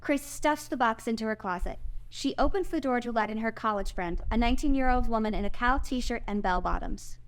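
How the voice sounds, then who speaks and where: A woman's voice: on the high side, a little fast. A woman reading out screenplay stage directions as the narrator at a table read.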